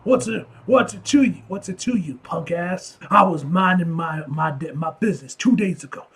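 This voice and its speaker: Man playing belligerent street punk, male, high-ish husky voice, brash, cocky, aggrieved comic-book dialogue. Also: normal speed, a bit bright, full character voice.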